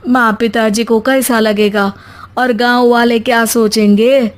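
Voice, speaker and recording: Gentle, hesitant young villager husband for a story read by a woman, high voice, unhurried pace. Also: steady tone, natural voice. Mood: reluctant, gentle.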